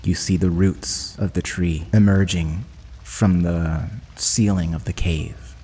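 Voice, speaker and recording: A man's voice: low-pitched, steady tone, slow, warm tone, slightly rough, long reverb tail. A man running a tabletop roleplaying game as game master, narrating in a dramatic, descriptive way.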